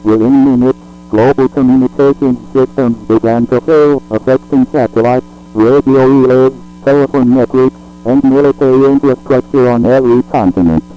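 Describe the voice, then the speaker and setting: Robotic tts guy reading an emergency broadcast, formal and flat, muffled lo-fi sound w music under it. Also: medium pitch. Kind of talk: scripted emergency alert announcement. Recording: dull lo-fi audio with music.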